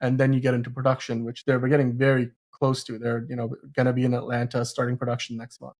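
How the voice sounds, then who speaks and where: A man's voice: mid-range voice, completely flat delivery, quick pace, a little mellow. A man hosting a casual film discussion podcast, talking in a rambling, emphatic way and often stumbling over his words. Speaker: podcast host.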